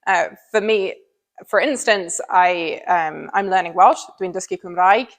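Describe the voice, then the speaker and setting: A woman from England answers an interview question as a candidate. Her English-accented voice is on the low side and gravelly with creak. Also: unhurried. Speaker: election candidate.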